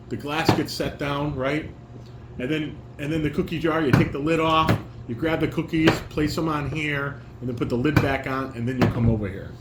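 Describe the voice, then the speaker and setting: A man directing a family table read, reading stage directions and giving informal, corrective instructions. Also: fairly high pitch. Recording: echoey room with clear background noise.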